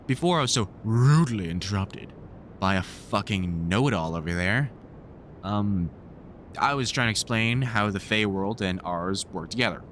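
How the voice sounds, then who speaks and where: A man playing a lively, sweary vampire hunter mentor. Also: lowish voice, normal speed, a little hiss or hum. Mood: mock annoyed. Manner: sweary, teasing, rambling explanations. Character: gruff, wry vampire hunter, grumpily fond mentor. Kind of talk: conversational audio roleplay acting.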